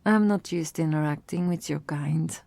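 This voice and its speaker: A woman playing a gracious, guarded vampire who speaks formally and softly in an ASMR roleplay. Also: low-pitched, normal speed, vocal fry, dry recording. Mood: gracious, teasing. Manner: formal, soft-spoken, gently teasing.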